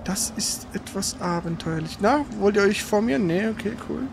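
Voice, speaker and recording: A man's voice: sits high, normal speed, vocal fry, noisy background. A man doing casual live gameplay commentary with a co-player, exclaiming, grumbling and swearing as he goes. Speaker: gaming streamer playing and commenting.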